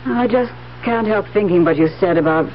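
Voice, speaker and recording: A woman's voice: mid-range voice, normal speed, slight background noise, lightly acted. An actress playing an uneasy, doubting woman in a 1970s radio drama, anxious and questioning. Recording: muffled, humming old radio recording.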